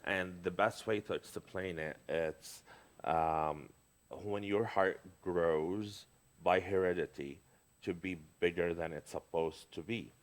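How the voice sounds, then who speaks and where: A man's voice: low voice, slow pace. A middle-aged man from Lebanon, talking in a casual conversation as a guest, hesitant, with many fillers and restarts.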